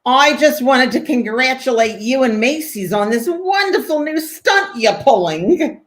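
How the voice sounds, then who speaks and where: Woman playing a brash, high-pitched city mom. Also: lively intonation, a little slow. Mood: impressed. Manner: blunt, slangy. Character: brash, plain-spoken, exasperated working-class city mother. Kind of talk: 1940s radio play acting.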